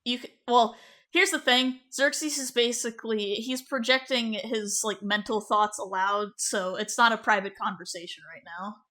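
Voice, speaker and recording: A woman's voice: on the high side, steady tone, normal speed, sharp tone. A woman narrating as game master in a tabletop roleplaying game, casual and loose, with fillers.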